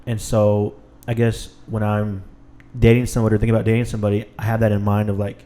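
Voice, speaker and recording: A man's voice: on the low side, monotone, fast, warm tone. A thirty-year-old man hosting a podcast, chatting in a laid-back way with many fillers and trailing thoughts. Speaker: podcast host. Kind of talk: casual chat.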